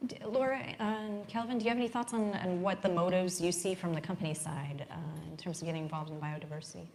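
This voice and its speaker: A woman moderating a panel discussion, asking long framing questions in a measured way. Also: lowish voice, fairly even intonation, darkish tone, slight background noise.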